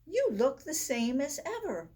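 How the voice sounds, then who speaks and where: A woman's voice: sits high, very animated, measured pace, mellow, pitched above the performer's own voice. A woman voicing a caring, encouraging male pig from a children's storybook, earnest and reassuring.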